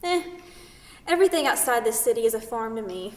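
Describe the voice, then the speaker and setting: Woman acting a brash science scholar, higher-pitched voice, sarcastic, pushy, teasing, stage play reading, distant mic, long hall reverb. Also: lively intonation, a little fast, natural voice. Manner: pushy, sarcastic, playful needling. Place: theatre, recorded from a distance.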